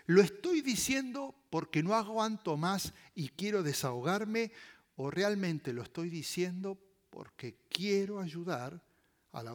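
Animated, high-pitched male Latin American preacher. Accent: Latin American Spanish with voseo. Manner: emphatic, with dramatic swings from loud to hushed; deliberate, repeating key phrases for emphasis. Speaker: pastor preaching. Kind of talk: sermon.

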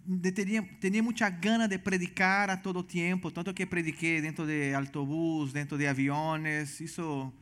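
A man from Venezuela with a Brazilian Portuguese accent, earnestly telling his testimony as a missionary guest, halting and restarting often. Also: a little high, steady tone, fairly bright tone. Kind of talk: personal testimony in church interview.

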